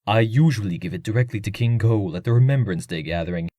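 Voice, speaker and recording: A man's voice: fairly low pitch, fast, mellow, no echo, close to an everyday voice. An actor playing a haughty, wealthy nobleman in a comic dub, speaking in a formal and pompous manner. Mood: courteous.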